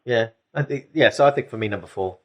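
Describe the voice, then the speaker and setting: A man's voice: mid-range voice, fast talker. A man co-hosting a comedy podcast in casual chat, chatty and jokey with frequent restarts. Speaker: podcast co-host.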